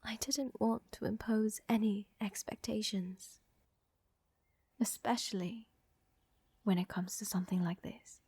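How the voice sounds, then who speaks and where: A woman acting an elegant, flirtatious and tender vampire countess in a roleplay ASMR, with soft, breathy, genteel delivery that often drops to a whisper. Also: mid-range voice, fairly even intonation, measured pace, pauses often, no room sound. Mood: earnest, apologetic.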